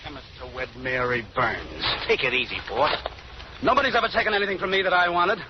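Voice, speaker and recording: Man acting a cocky, high-pitched gangster boss. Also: a little fast, natural voice. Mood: cocky, scheming. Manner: brash, snarling, boastful tough-guy talk. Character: cocky, grandiose male gangster boss, menacing and obsessive. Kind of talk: 1930s radio drama acting. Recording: muffled, noisy old radio recording.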